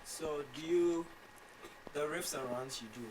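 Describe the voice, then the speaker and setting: A man's voice: on the high side, measured pace, noisy background. A man asking a singer curious questions about her vocal runs. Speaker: interviewer, team member.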